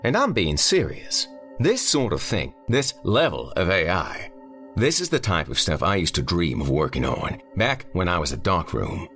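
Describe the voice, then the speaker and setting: Brainy, sardonic male colleague for audiobook fiction, done by a man: deep, wry, emphatic. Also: sing-song, normal speed, few pauses, fairly bright tone, faint music behind, heavily acted. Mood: wry, wistful.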